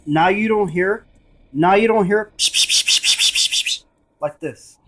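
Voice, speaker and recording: A man's voice: high-pitched, normal speed, bright, forceful tone, soft background music. A man giving a casual, emphatic and repetitive hands-on gadget demonstration. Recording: noisy room with device static. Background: radio scanning static.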